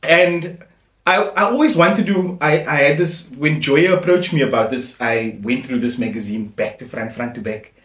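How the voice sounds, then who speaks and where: A man's voice: mid-range voice, fairly even intonation, moderate pace, a bit bright, distant mic. A man hosting a podcast interview, upbeat and enthusiastic, with many fillers. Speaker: podcast host. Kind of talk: casual interview chat. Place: home room. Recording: echoey room, voice off-mic.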